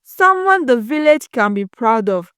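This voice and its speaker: A woman voicing a respected old male village elder in a moral story, with a measured, weighty manner. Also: a little high, very animated, a bit bright, clear, resonant tone, no echo, studio quality. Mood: solemn, formal.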